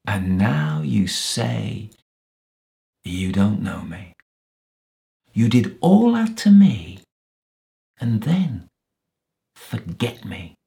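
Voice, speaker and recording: A man's voice: sits low, slow, gravelly, warm tone, exaggerated voice. A man reading a story, voicing a crafty, menacing ex-policeman villain, bitter and self-pitying, who sneers and taunts. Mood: whining, self-pitying. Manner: sneering, taunting, with sudden rises and whines.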